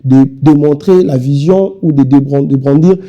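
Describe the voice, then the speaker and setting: Bright-voiced young Congolese party spokesman. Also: on the high side, moderate pace, dull audio. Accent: Congolese French. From Kinshasa, DR Congo. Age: young adult. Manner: insistent, repetitive speechmaking. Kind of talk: televised interview.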